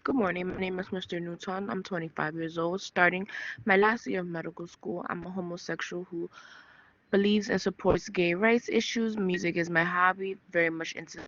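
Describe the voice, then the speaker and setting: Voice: mid-range voice, steady tone, normal speed, fairly bright tone, no room sound, highs cut off, close to an everyday voice. A student reading as a young male medical student. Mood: plain. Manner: plain reading of a profile. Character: twenty-five-year-old male medical student.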